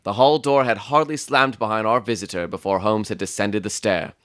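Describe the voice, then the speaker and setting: A man playing an observant, composed Victorian gentleman who narrates a detective story in a measured, literary way. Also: fairly low pitch, clean tone, no echo, clean studio sound, close to an everyday voice. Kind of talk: dramatic audiobook narration.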